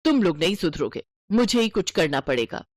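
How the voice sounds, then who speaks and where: A woman's voice: lowish voice, sing-song, a little fast, dry recording. A woman voicing a stingy, scolding older mother-in-law in a cartoon story. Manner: bossy, penny-pinching, sharp-tongued.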